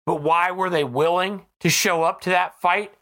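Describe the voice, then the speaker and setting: An American podcast host delivering an impassioned political monologue, emphatic and repeating phrases for effect, with a slightly hoarse voice. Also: medium pitch, steady tone, normal speed, a bit bright. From United States.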